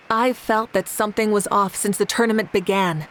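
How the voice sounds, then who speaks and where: A woman voicing a composed, commanding, perceptive warrior leader with a measured, formal manner in a video game. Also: medium pitch, a bit bright, a little hiss or hum. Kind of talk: animated video game voice acting.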